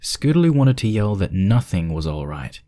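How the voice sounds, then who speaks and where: Low-voiced man narrating a horror story. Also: sing-song, normal speed, mellow. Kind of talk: dramatic horror story reading, scripted narration.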